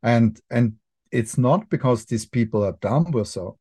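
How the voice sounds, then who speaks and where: A man's voice: medium pitch, lively intonation, measured pace, warm tone, breathy edge, dry recording, clean studio sound. A middle-aged man with an Austrian accent, a filmmaker guest on a podcast, explaining thoughtfully with frequent restarts and fillers. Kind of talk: podcast interview.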